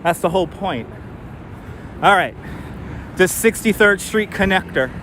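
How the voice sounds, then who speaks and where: A man with a mild New York accent, his voice sitting a little on the high side, chats with his viewers as he walks outdoors. He thinks aloud and often restarts his sentences. Faint city traffic hums behind his clip-on mic. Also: lively intonation, a little slow. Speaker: live stream host. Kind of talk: casual walking live stream, chatting with viewers. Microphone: wireless clip-on mic. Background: faint street traffic.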